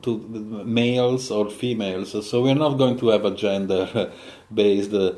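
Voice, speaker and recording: A man's voice: fairly low pitch, fairly even intonation, unhurried, dark tone, reverberant. A man with an Italian accent hosting a podcast interview, hesitant with many filler sounds. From Italy. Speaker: podcast host. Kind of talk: interview introduction.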